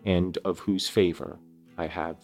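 A man voicing an earnest, anguished outcast creature in a solemn, formal, measured storybook reading. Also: deep voice, fairly even intonation, a little mellow, faint music behind. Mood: sorrowful, fearful.

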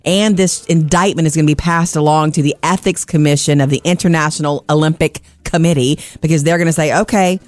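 A woman's voice: sits low, fairly even intonation, brisk, few pauses, no echo. A woman hosting a radio show, chatting enthusiastically with callers and reading entertainment news.

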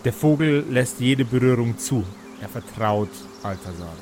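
A man narrating as game master of a tabletop role-playing audio play, improvising descriptions in deliberate phrases with pauses. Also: mid-range voice, expressive, noisy background.

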